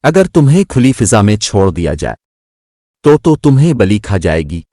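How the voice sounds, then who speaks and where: An older cartoon rooster who knows better, as a male storyteller plays him. He admonishes with amusement in a lowish, lively voice. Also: normal speed, dry recording, studio quality. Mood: teasing, admonishing. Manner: teasing, admonishing.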